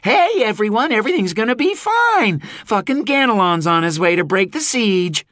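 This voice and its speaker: A woman's voice: sits low, lively intonation, unhurried, dry recording, studio quality, voice pushed up. A male narrator voicing a fierce young woman who leads mercenaries under siege, blunt and swearing freely. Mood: incredulous, pleading. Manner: sharp-tongued, swearing, blunt.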